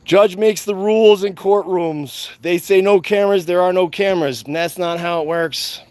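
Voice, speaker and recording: A loud, defiant man rants to his viewers in a high-pitched voice. He swears freely and hammers his points home by repeating them. The sound is dry and close. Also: moderate pace, clear, resonant tone, no room sound. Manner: profane. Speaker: activist channel host. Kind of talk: fired-up monologue to viewers.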